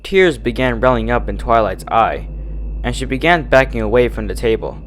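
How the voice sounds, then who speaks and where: A man's voice: mid-range voice, moderate pace, slight background noise, rough recording. A man narrating a story in a dramatic, scripted reading style. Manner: animated, dramatic.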